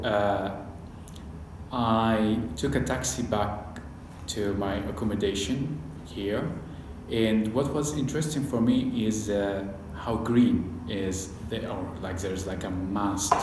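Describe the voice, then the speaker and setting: Syrian-born man, low unhurried voice, pauses to find words, echoey recording. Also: noisy background. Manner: halting, searching for words. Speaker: PhD student. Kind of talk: casual interview. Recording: very echoey room, faint ambience.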